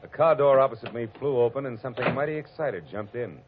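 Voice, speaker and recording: A man's voice: mid-range voice, fast talker, background noise, lightly acted. An actor playing a hard-boiled male private detective who narrates with a dry, wry delivery in a 1940s radio drama. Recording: muffled old radio broadcast.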